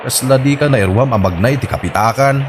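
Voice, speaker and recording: A man's voice: medium pitch, fairly quick, noisy background, natural voice. A man playing a plain-spoken, mocking village neighbour in an Ilocano comedy drama, with an Ilocano accent. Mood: teasing.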